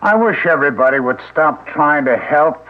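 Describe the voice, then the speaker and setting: A man's voice: a little high, animated, normal speed, background noise, natural voice. A man playing a bitter, paranoid husband who drinks too much, speaking curtly in a radio drama. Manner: curt and suspicious. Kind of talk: 1970s radio drama acting. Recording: tinny old radio broadcast.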